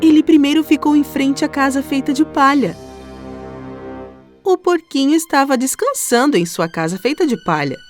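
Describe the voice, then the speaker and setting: High, lively Brazilian woman storyteller. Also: normal speed. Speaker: storyteller, narrator. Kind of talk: scripted children's story narration. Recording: music under the voice.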